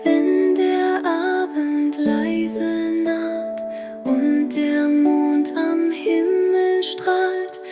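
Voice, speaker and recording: Female lullaby singer, extremely slow phrasing, loud musical backing, for a children's bedtime song. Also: very high voice.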